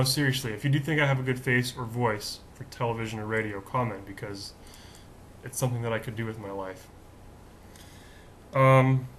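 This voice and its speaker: A man with a deep voice hosting his own daily vlog, rambling in a chatty, self-mocking way with lots of fillers. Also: fairly bright tone, slight background noise. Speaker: vlog host. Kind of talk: casual rambling vlog monologue.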